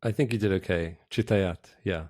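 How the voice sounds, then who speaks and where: A middle-aged man from Israel, a podcast guest, talking casually in a direct, reflective way with pauses and restarts. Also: deep voice, a little mellow, dry recording, clean studio sound. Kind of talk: casual interview talk. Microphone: remote call.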